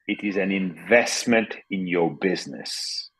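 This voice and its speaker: Male business promoter on a group call, lowish voice, very measured pace, short phrases. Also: a bit bright, husky, low-quality recording. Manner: speaks in short phrases for an interpreter. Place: online group video call.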